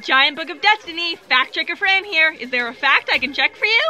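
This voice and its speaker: A high, chirpy, flustered fact checker. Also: female voice, expressive, fairly quick, pushed and bright, exaggerated voice. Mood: bright, helpful. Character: eager, scatterbrained young female fact checker on a cosmic broadcast. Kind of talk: comic sci-fi audio theatre.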